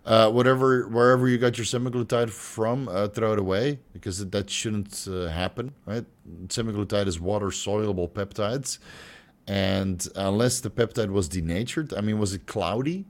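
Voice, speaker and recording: Hoarse, swearing man hosting a Q&A. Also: fairly low pitch, moderate pace. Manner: loose, joking, swears freely. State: voice getting hoarse and stuffy. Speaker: host answering viewer questions. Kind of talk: casual livestream Q&A.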